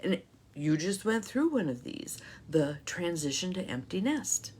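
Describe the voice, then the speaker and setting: A woman's voice: lowish voice, big pitch swings, measured pace, darkish tone, slight background noise. A middle-aged woman life coach hosting a live talk, reflective and encouraging, greeting viewers by name.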